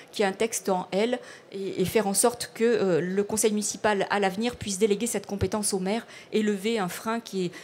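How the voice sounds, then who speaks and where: A woman's voice: a little high, darkish tone. A woman official answering on a conference panel, talking at length with many hesitations and fillers. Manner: hesitant, many fillers, self-described chatty.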